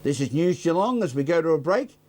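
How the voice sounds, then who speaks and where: A man's voice: on the high side, very animated, a little fast, a little mellow. A man presenting local television news from a script as the anchor.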